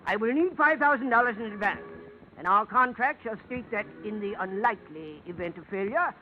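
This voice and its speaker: A man playing a genial, verbose deep-sea salvage expert in a 1950s radio drama, speaking in a pompous, florid and formal manner. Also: lively intonation, brisk. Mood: businesslike, grand. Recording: dull, noisy old radio recording.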